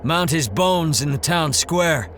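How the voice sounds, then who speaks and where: An actor playing a bold, steady wasteland monster hunter in an audio drama, with a dry, confident, commanding manner. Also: male voice, a little high, slow pace, a little hiss or hum.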